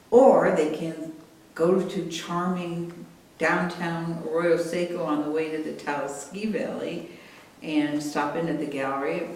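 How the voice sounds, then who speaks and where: An American woman in her late sixties speaking as an author, measured, candid and thoughtful. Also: darkish tone. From United States. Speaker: author and artist being interviewed. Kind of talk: reflective interview talk and reading aloud from own book. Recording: roomy echo, distant mic, rumbly noise.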